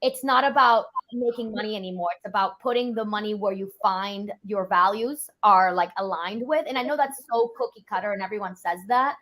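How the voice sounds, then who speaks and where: A young woman from Europe, a financial planner, chatting quickly and casually with lots of "like" fillers. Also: mid-range voice, a bit bright. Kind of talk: casual interview chat.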